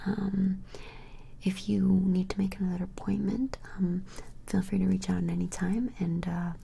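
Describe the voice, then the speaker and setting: A woman whispering softly and gently in an ASMR personal-attention role play, hesitant and reassuring. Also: medium pitch, flat delivery, normal speed, a little hiss or hum. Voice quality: soft breathy whisper with fry. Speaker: ASMR performer playing an appraiser taking measurements.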